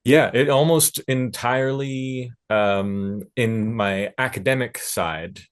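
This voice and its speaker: A man speaking as a panelist in a discussion, thinking aloud in a reflective, halting way. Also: medium pitch. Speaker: panelist, record producer and recording engineer.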